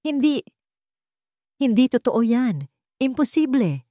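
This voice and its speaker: A woman voicing an arrogant rich male heir who shouts in indignation, in a storybook reading. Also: high voice, big pitch swings, slow pace, no echo, studio quality, pitched above the performer's own voice, heavily acted. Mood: shocked. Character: arrogant rich heir, man, losing composure.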